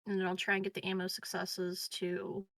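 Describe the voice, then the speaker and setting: A woman's voice: mid-range voice, completely flat delivery, moderate pace, gravelly, no room sound. A woman player in a tabletop roleplaying game, thinking aloud through a turn in a casual way.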